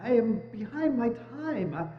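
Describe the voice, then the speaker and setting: A man's voice: very high-pitched, sing-song, exaggerated voice. A man playing a meek clerk in an echoey hall. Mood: nervous apology. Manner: nervous, halting, deferential. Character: meek, apologetic male clerk, Bob Cratchit. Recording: muffled, echoey church hall.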